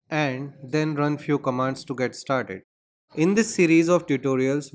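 A man hosting a screen-recorded coding tutorial, explaining steps and spelling out terminal commands. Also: medium pitch. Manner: steady, instructional, spells out commands.

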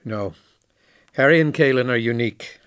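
A man playing a candid, reflective former station commander in a science fiction audio drama, speaking in a measured way. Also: medium pitch, clear, resonant tone, no echo, clean studio sound, lightly acted. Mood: explanatory, reflective.

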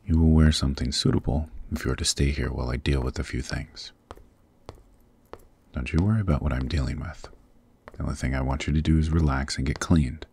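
A man voicing a possessive, protective demon king in a husky, very deep voice, formal and intimate, in an ASMR roleplay. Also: steady tone, fairly quick, a little mellow, slight background noise. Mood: commanding, caring. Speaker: voice actor.

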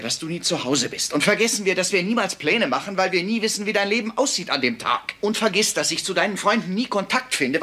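A man's voice: high voice, pushed and bright, husky, heavily acted. An actor playing a hurt, insistent boyfriend, an earnest ordinary man in a dubbed German sitcom.

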